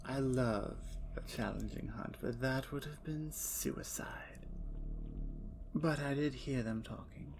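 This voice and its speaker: A woman voicing a predatory, seductive female vampire in an ASMR roleplay, speaking in slow, low, teasing whispers. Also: background noise, heavily acted. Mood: taunting.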